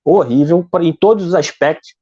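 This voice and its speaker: A man's voice: on the high side, moderate pace, warm tone. A Brazilian man with a slightly raised voice talks politics in a heated, rambling way. He stumbles and repeats words as he hunts for the next thought. The stream audio sounds dull and lo-fi. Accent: Brazilian Portuguese. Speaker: commentator on a live stream. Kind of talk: casual political commentary.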